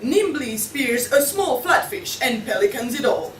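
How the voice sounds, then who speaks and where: A woman's voice: high-pitched, animated, unhurried. A young woman reading scripted narration as a student narrator at a play table read. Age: young adult. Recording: echoey room, distant mic, faint noise.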